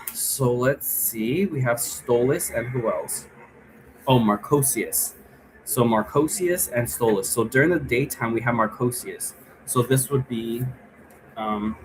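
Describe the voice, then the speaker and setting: A man with an average-pitched voice talks informally, like a host walking viewers through a tutorial. He rambles casually, repeats himself and checks in as he goes. A light layer of noise sits behind his voice. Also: rough recording. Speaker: host giving an occult divination tutorial.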